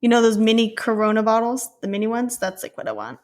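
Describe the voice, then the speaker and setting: A woman's voice: fairly high pitch, expressive, quick pace. A woman co-hosting a podcast in casual chat, with an informal, playful, slangy way of talking. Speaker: podcast co-host.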